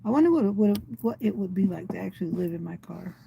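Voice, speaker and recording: Chatty older woman, slightly raspy voice. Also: medium pitch, expressive, quick pace, muffled recording, a little hiss or hum. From USA. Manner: rambling, chatty, talking to herself. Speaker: vlogger. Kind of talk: casual livestream chat. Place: inside a parked car in the rain.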